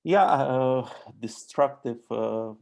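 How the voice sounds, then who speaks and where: Slow, gravelly man. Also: mid-range voice, darkish tone. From Romania. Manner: halting, many fillers and restarts. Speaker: architect and author. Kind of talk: hesitant conversational answers.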